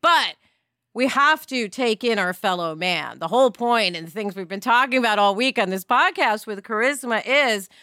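A woman's voice: a little high, lively intonation, normal speed, bright, forceful tone, clear, resonant tone, no echo, studio quality. A middle-aged woman co-hosting a podcast in a candid, talkative, emphatic conversational way. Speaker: podcast co-host.

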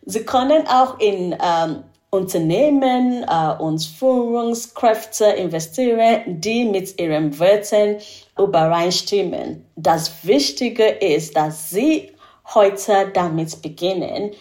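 A woman who is a native English speaker, speaking accented German haltingly and searching for words as an interview guest. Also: mid-range voice, darkish tone. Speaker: podcast guest, financial adviser. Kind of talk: interview answers in a second language.

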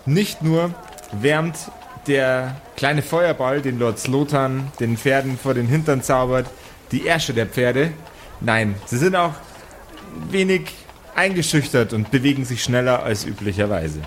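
A man narrating an improvised tabletop game as game master, in a deliberate way with pauses. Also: medium pitch, a little hiss or hum. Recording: music under the voice. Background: music.

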